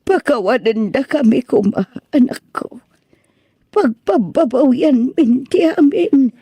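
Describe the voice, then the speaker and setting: A gentle old mother in an audio drama, played by a woman. She speaks very slowly in a soft-edged, dark and husky voice, tender and sorrowful. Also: sits high, animated, dry recording, exaggerated voice. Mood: pleading, remorseful. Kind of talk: amateur audio drama acting.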